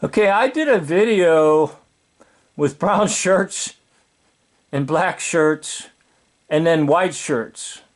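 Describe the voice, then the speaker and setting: Older American man, informal opinionated commentator. Sardonic, rhetorical, unhurried delivery, slightly high register.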